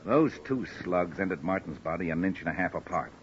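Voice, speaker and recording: A weary American police sergeant with a low voice and folksy, matter-of-fact talk. It is vintage radio drama on a dull recording with faint noise. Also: male voice, expressive, brisk. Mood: puzzled, businesslike. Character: plain-spoken, weary male police sergeant. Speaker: actor playing a police sergeant. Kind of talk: old-time radio acting.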